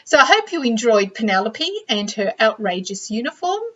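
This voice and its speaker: A woman librarian reading a children's storybook aloud with expressive narration, on a slightly dull recording. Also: on the high side, normal speed.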